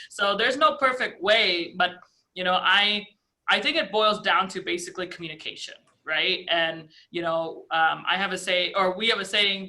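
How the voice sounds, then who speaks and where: A woman's voice: medium pitch, bright, forceful tone. A woman on a video call panel giving earnest coaching advice, filling her talk with "you know" and "right".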